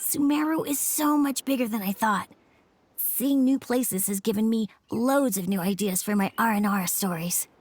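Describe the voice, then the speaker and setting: A woman's voice: on the high side, moderate pace, a little hiss or hum, lightly acted. A woman voicing an earnest, imaginative young boy in a video game, speaking sincerely. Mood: hopeful, grateful. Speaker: voice actor in a game. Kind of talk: emotive video game story dialogue.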